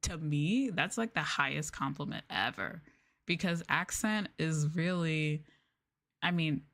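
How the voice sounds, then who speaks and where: A woman's voice: low-pitched, measured pace, a bit bright. A young woman with a Canadian accent chatting casually on a stream, rambling and giggly with lots of "like" and "um", often poking fun at herself. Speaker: VTuber streamer. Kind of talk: casual late-night chat stream.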